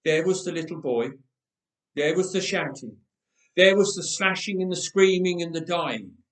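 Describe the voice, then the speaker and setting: A man reading a dramatic story aloud with measured, emphatic delivery and dramatic pauses. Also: fairly high pitch, fairly bright tone. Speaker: storyteller, reader. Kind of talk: dramatic scripted story narration. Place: roomy church interior. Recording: echoey church, distant microphone.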